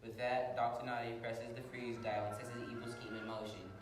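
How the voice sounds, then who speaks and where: A man's voice: mid-range voice, flat delivery, brisk, music in the background. A man reading scripted dramatic narration as the announcer of an amateur radio drama. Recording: very echoey hall, distant mic, clear noise.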